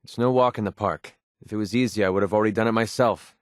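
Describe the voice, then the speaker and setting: An actor playing a guarded, wounded mercenary in a video game, sarcastic and quick-tempered but loyal, speaking in a plain, earnest, edgy way. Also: male voice, fairly low pitch, fairly even intonation, dry recording, studio quality, natural voice. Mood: earnest, troubled. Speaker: companion character in a video game. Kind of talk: naturalistic video game voice acting.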